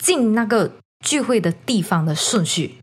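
A woman podcast host telling a story in casual chat, animated and slipping bits of English into her talk. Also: mid-range voice, normal speed, slightly rough. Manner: animated, code-switches into English.

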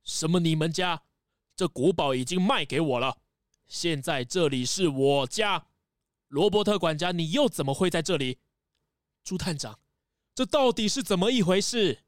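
An actor plays a fretful castle owner in a children's radio play. The mid-pitched voice swings widely, demanding and flustered, in a dry recording. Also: expressive, moderate pace, no echo, natural voice. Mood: indignant. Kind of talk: children's audio drama acting.

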